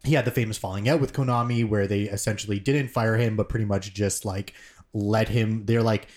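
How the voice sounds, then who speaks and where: Male podcast co-host, slightly low voice, loose and digressive, heavy on "like" and "um". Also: steady tone, brisk, darkish tone. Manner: rambling, filler-heavy explanations. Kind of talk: casual chat.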